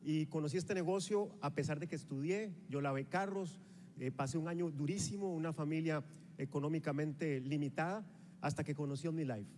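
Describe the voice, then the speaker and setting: A Costa Rican man in his forties with a Costa Rican accent, giving an energetic motivational speech on stage with a handheld microphone. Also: on the high side, slight background noise. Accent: Costa Rican Spanish. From Costa Rica. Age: 40s. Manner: energetic, rallying the audience, walks the stage while gesturing. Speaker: motivational speaker at a sales rally. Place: large convention hall on a stage with a live audience. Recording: reverberant hall sound.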